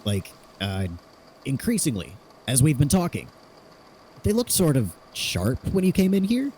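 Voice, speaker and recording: A man's voice: fairly high pitch, huge pitch swings, a little slow, warm tone, slightly rough, exaggerated voice. A man playing a curious, analytical theorist in an audio drama, conversational and inquisitive. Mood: intrigued, careful.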